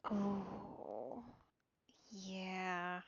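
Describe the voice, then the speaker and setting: A woman's voice: medium pitch, lively intonation, very slow, gravelly, husky, no room sound. Woman game streamer, slightly muffled mic. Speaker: let's play streamer. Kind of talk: casual live game commentary.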